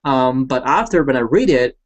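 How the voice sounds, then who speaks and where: A man's voice: medium pitch, normal speed, lo-fi sound. Guy chatting on a headset video call, easygoing, says "you know" a lot. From Hong Kong. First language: Cantonese. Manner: relaxed, chatty, lots of fillers like "you know" and "right?". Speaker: hangout host. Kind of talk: casual personal story on a video call. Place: small room indoors.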